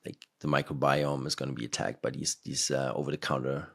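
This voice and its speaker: A man's voice: rumbling low, level tone, brisk, creaky, fairly bright tone. A man hosting a podcast, rambling in casual conversation with many fillers. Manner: rambling, filler-heavy, laughs between points.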